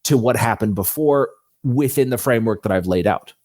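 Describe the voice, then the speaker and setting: A man's voice: medium pitch, brisk, darkish tone, dry recording, clean studio sound. A man speaking conversationally as an interview guest, an author explaining how he works. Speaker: fantasy author, podcast guest.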